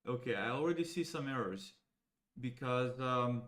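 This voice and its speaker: A young man from Serbia, a native Serbian speaker, thinking aloud as he walks viewers through code, halting and casual. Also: mid-range voice, lively intonation, a bit bright. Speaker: AI educator. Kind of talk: live coding walkthrough.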